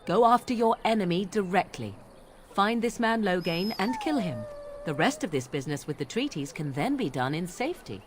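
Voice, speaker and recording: A woman acting a haughty young witch in a fantasy game. She speaks in formal, cutting phrases with lively, sardonic swoops, over clear background ambience. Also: medium pitch, moderate pace, slight background noise, close to an everyday voice. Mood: impatient. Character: aloof, scornful young witch with cutting wit.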